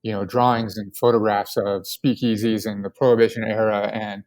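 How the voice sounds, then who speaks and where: A man's voice: fairly low pitch, little pitch movement, normal speed, darkish tone. A man from Colorado, the guest co-founder, answering questions casually and with enthusiasm, with lots of filler words. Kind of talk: casual podcast interview.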